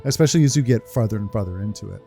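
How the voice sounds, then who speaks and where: A man's voice: on the low side, rapid-fire, vocal fry. A man giving casual playthrough commentary as a game streamer, rambling and thinking aloud. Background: soft game music.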